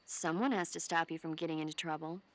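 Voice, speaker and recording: An actress playing a caring female military medic in a video game, earnest, playful and gently scolding. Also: sits low, fairly even intonation, fast talker, dry recording. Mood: earnest devotion.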